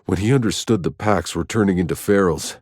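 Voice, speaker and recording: Husky, low-voiced, jaded game soldier. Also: male voice, fairly quick, darkish tone, no echo, clean studio sound. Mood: grave, reflective. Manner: wry, terse, world-weary delivery. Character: jaded, sardonic male soldier, hardened survivor of alien wilds. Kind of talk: video game character voice lines.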